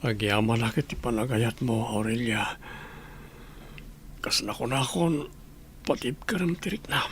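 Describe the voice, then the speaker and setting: Man acting a gravelly, dying, remorseful husband. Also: mid-range voice, a little slow, pauses often, slight background noise, lightly acted. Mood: anguished, remorseful. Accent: Ilocano. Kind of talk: Filipino radio drama acting.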